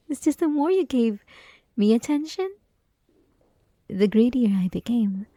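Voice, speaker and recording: Woman acting a soft, high-voiced clingy yandere schoolgirl. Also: expressive, dry recording. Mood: wistful, infatuated. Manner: coy, teasing, pleading, halting, often dropping to whispers. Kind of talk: ASMR roleplay, intimate scripted monologue.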